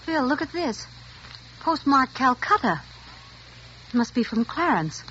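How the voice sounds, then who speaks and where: An actress playing an anxious, gentle wife, hesitant and conciliatory, in a 1940s radio style. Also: female voice, high voice, sing-song, slow pace, full character voice. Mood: curious, evasive. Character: anxious, placating American wife, gentle and uneasy. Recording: muffled, slightly noisy 1940s radio recording.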